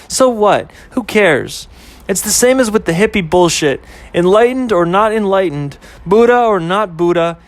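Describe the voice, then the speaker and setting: A man's voice: sits high, voice pushed up. A man voicing a young woman, a flippant girlfriend who scoffs and fires off rhetorical questions. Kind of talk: amateur audio story acting.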